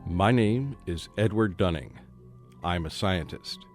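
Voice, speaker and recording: A man plays a scholarly British scientist in a radio play, rational and skeptical yet troubled. His voice sits fairly low and moves widely in pitch. Music runs softly underneath. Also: lively intonation, slow pace. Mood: grave, uneasy. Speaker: actor and first-person narrator. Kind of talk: radio drama acting. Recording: faint music behind a student radio play.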